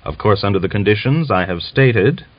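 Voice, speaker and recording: Man reading as an earnest, formal officer, low voice. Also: expressive, moderate pace, no echo. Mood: urgent, polite. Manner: formal, emphatic, persuasive. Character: earnest, anxious Russian officer defecting, formal, educated. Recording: dull lo-fi audiobook recording.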